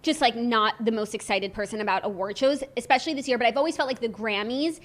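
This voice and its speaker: A woman's voice: fairly high pitch, fast. A woman co-hosting a pop culture podcast, upbeat and gushing, with lots of fillers and restarts. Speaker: podcast co-host. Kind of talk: casual pop culture chat.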